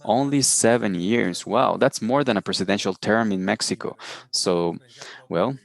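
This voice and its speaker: Panelist, male, very low and gravelly, unhurried informal tone, heard over a video call. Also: dry recording. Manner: relaxed, informal storytelling with hesitations.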